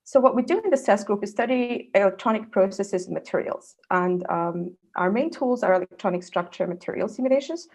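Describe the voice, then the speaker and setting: A woman's voice: mid-range voice, fairly quick, hardly any pauses, darkish tone. A woman from Serbia, a research fellow in her thirties, giving an online scientific presentation with frequent fillers.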